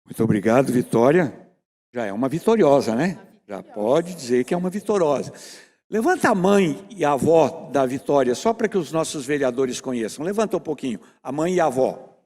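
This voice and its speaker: A man's voice: mid-range voice, animated, brisk, darkish tone. A man with a Brazilian accent giving a semi-formal speech at a council session in a conversational way, often checking for agreement with short tag questions. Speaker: youth apprenticeship programme representative. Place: large echoing meeting chamber. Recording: reverberant hall public address.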